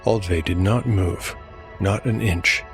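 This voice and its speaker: Deep-voiced man narrating dark fantasy. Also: slow. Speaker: narrator and host. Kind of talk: scripted dark fantasy audio drama narration. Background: music.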